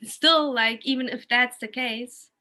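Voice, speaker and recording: Woman, German accent, bright forceful voice, emphatic and informal in casual conversation. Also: on the high side, measured pace. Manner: emphatic, opinionated, informal with swearing.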